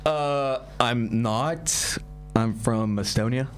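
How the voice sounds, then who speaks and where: A touchy young man with an American accent, played by a woman in a comedy sketch. He has a low voice and turns defensive quickly. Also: a little hiss or hum. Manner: defensive, easily riled.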